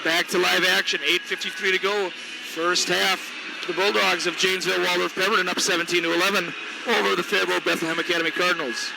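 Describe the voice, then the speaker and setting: A man calls a high school basketball game in a high, gravelly voice. His voice sounds tired and worn as the night goes on. Crowd murmur and bouncing balls fill the noisy gym behind him. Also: fast, hardly any pauses. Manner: energetic, reads sponsor ads. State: voice weakening. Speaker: play-by-play announcer. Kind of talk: local sports commentary. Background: gym ambience, bouncing balls.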